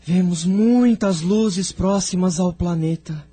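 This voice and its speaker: A man playing an entranced seer in an audio drama, solemnly recounting visions in a slow, trance-like way. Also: sits high, warm tone, dry recording. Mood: entranced, awed.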